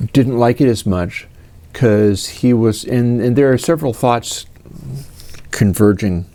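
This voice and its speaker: A man's voice: medium pitch, warm tone. A man in his fifties from the United States, answering viewer questions in a casual, rambling way with many fillers and restarts. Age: 50s.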